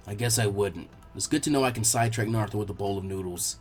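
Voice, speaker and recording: A man voicing a lazy, grumbling teenage boy ninja with a deadpan, unimpressed drawl in an audiobook-style story. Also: lowish voice, quick pace, husky, faint music behind, voice pushed down. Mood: dry, unimpressed.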